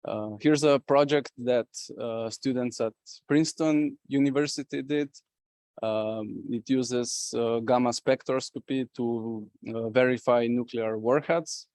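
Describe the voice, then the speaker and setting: A man's voice: mid-range voice, steady tone, measured pace, a little mellow, dry recording. A man from Slovenia giving a conference presentation as a company representative, talking with many fillers and restarts.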